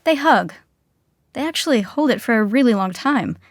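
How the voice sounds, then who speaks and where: A woman's voice: fairly high pitch, animated, normal speed, dry recording, studio quality. A woman reading scripted comedic narration for a sitcom. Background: music.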